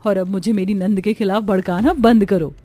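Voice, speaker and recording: A woman voicing a gentle, loyal young bride in a cartoon story, earnest and dutiful. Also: mid-range voice, fairly even intonation, normal speed, no echo, natural voice. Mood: firm. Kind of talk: Hindi cartoon story dialogue.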